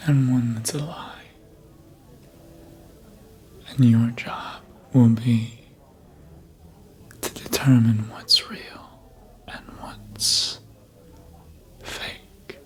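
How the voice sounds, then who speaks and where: An actor playing a seductive, manipulative vampire in a hushed, breathy ASMR roleplay whisper, teasing and deliberate, close to the microphone. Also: close to an everyday voice. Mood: sly, approving. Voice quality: breathy whisper and low murmur. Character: seductive, manipulative elder vampire toying with a fledgling.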